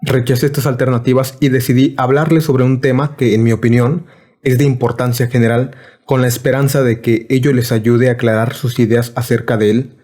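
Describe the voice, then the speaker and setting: A man giving a measured, formal scripted reading of a philosophy lecture. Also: medium pitch, level tone, darkish tone.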